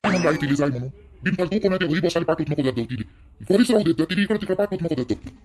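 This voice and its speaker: A man's voice: on the high side, expressive, very fast, a little mellow, natural voice. A man playing an angry, controlling young village husband in a family cartoon, scolding and repeating himself in a Vidarbha Marathi accent. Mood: annoyed. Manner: scolding, domineering, repetitive. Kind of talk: family drama cartoon dialogue.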